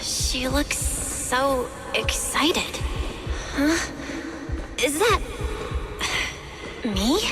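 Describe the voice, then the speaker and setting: Video game heroine: emotional young woman, high voice, slow hesitant delivery, music underneath. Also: expressive, exaggerated voice. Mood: confused, wistful. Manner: hesitant, emotional, breaking into anguished pleas. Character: young woman proxy, sensitive, trapped in a nightmare. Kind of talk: dramatic video game voice acting.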